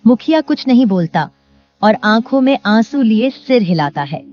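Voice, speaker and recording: A woman's voice: on the high side, animated, normal speed, a little mellow. A woman reading scripted storytelling narration as the narrator. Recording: dull lo-fi audio. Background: music.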